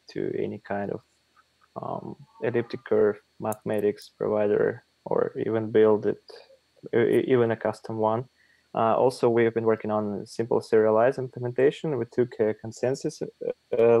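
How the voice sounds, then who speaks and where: A man giving a halting status update for his developer team on a video call, with many fillers. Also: fairly low pitch, gravelly, warm tone, no room sound, rough recording. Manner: slow, hesitant, many fillers.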